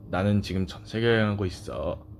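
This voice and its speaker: A man voicing a gentle, wise wandering rat in a children's storybook, speaking quietly and calmly. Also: on the low side, gravelly, slight background noise. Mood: thoughtful. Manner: quiet, unhurried, calm.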